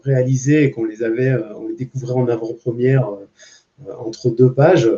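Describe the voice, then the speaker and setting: A man's voice: medium pitch, steady tone, normal speed, dark tone. A man with a French accent talking casually as a comics artist on a panel, informal and hesitant as he searches for words. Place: public panel at a comics festival. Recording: muffled, dull recording.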